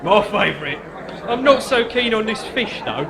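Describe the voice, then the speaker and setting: An actor playing a naive, hapless convict sidekick in live stage comedy, with an English accent and an earnest, hesitant, polite manner. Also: male voice, high voice, very animated, moderate pace, crowd noise. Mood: earnest, puzzled. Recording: live stage recording with loud audience.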